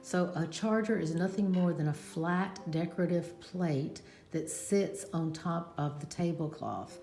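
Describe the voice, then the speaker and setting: Slow, low-voiced woman in an echoey room. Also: mellow. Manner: slow, descriptive, with frequent fillers. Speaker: home decor vlogger. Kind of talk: casual home tour narration. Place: dining room at home. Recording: echoey room with music behind. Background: music playing from a smart speaker.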